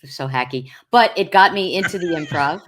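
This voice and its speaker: A middle-aged woman from Long Island with a deep voice, chatting as a podcast guest and rambling with many fillers and restarts. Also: moderate pace, background noise. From Long Island, New York. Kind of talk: casual interview chat.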